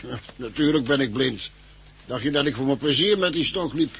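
Cantankerous grumbler, bitter, sardonic, 1960s radio drama, faintly noisy old recording. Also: male voice, medium pitch, animated, moderate pace, gravelly, fairly bright tone. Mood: irritable. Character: bitter, cantankerous man blind for decades.

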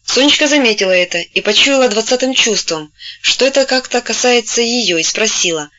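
A woman narrating a novel in a steady storytelling voice, gently taking on the characters' voices when they speak. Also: on the high side, brisk, dull audio. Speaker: audiobook narrator. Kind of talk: scripted literary narration.

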